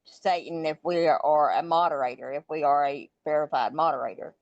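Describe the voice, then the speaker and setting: Dull, lo-fi call audio carries a woman's slightly low voice. She chats casually as a fellow moderator in a discussion. There is little room sound around her. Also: normal speed, no echo. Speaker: moderator and regular participant.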